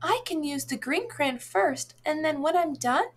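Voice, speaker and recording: A woman's voice: sits high, expressive, a little slow. A woman voicing earnest, insistent young children in a picture book. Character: young children bargaining over crayons, earnest.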